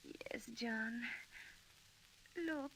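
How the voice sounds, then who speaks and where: A woman's voice: on the high side, very slow, fairly bright tone, low-quality recording. An actress playing a weary, tender new mother in an old-time radio drama. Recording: old hissy radio recording.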